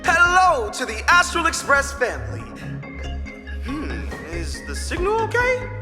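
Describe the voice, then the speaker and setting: An actor playing an excitable, theatrical game-show style livestream announcer who gets flustered easily, in a video game. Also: male voice, extremely high pitch, measured pace, long reverb tail, over music, heavily acted. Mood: flustered cheer.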